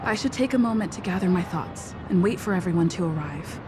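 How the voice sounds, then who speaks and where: A woman playing a reflective young game heroine, talking to herself in a quiet, thoughtful manner. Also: fairly low pitch, lively intonation, a little fast, background noise, lightly acted. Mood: pensive. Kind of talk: video game cutscene acting.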